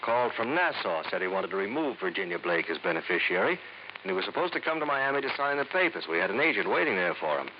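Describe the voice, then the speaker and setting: A man's voice: lowish voice, sing-song, quick pace. An American man playing a businesslike, slyly teasing insurance office man in a 1950s radio drama. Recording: dull old radio recording, faint noise.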